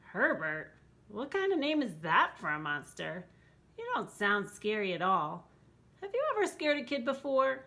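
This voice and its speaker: A woman's voice: medium pitch, big pitch swings, measured pace, bright, forceful tone, exaggerated voice. A woman voicing a picky, plucky child in a storybook, frank and demanding. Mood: doubtful. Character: picky, plucky child wanting a scary monster.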